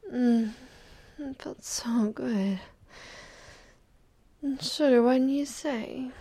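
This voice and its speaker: A young adult woman performing an intimate audio roleplay, speaking mostly in a soft, teasing whisper. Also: fairly high pitch, vocal fry, breathy edge.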